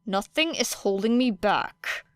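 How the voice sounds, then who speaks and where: Woman reading as a sardonic teen girl, blunt and flat, kinda gritted teeth, dry close mic. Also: fairly high pitch, a little slow, clean tone, dry recording. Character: sardonic, guarded teenage girl hero student.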